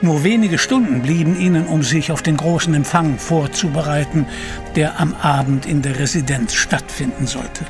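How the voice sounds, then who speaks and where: Ein Mann erzählt theatralisch und getragen die Handlung eines Hörspiels. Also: male voice, a little high, normal speed, music in the background. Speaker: narrator. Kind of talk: scripted audio drama narration.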